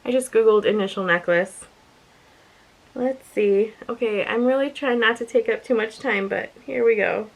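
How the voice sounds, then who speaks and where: A woman with a slightly raspy voice, chatting casually and thinking aloud as she presents an unboxing video with giggly asides. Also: on the high side, normal speed, rough recording. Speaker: unboxing and review vlogger.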